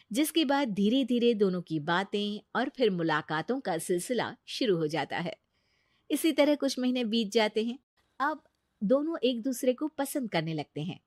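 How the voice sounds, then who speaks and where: A woman's voice: mid-range voice, dry recording, clean studio sound. A woman narrating a scripted story in a dramatic storytelling style.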